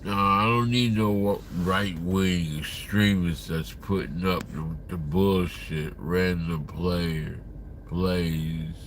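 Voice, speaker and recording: A man's voice: low voice, steady tone, takes it slowly, slight background noise. A man in his fifties chatting casually on a livestream, reading viewer comments aloud in a rambling, profane way. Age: 50s. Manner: rambling, profane, talks to chat.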